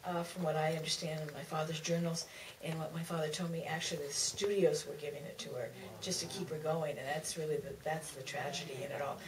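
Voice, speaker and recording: A woman speaking off the cuff as an author guest on a panel, often halting and restarting her sentences. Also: deep voice, fairly even intonation, crowd noise. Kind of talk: spontaneous panel discussion. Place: theater stage at a live Q&A. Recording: distant mic, clearly noisy room sound.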